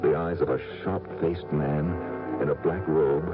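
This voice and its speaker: Deep-voiced, haunted, scheming husband. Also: male voice, steady tone, a little slow. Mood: awed, haunted. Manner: hesitant, stammering, agitated. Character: troubled fortune-hunting husband, aching, defensive, haunted. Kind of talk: 1970s radio drama acting. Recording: dull 1970s radio recording, loud music.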